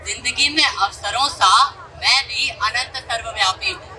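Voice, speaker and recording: A poet giving a measured, declamatory recitation in a dramatic slam style. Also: medium pitch, pushed and bright. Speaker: poet performer. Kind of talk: dramatic slam poetry recitation in first person as the sky. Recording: distant mic in noisy event crowd.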